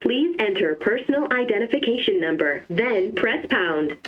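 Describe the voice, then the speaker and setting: A woman's voice: medium pitch, animated, moderate pace. An automated woman's voice reading formal, segmented voicemail menu prompts, played back through a telephone system. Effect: telephone system playback. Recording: dull lo-fi phone speaker playback.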